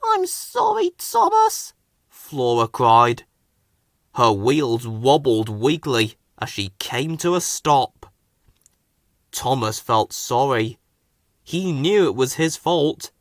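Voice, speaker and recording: A man's voice: medium pitch, slow, no echo, studio quality. A man narrating a scripted children's story as a storybook reader.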